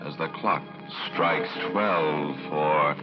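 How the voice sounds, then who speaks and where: Very deep, slow, ominous male radio narrator. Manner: ominous, theatrical, drawn-out. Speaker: narrator and host of a horror radio show. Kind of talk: scripted 1940s radio horror narration. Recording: muffled vintage radio, loud music behind.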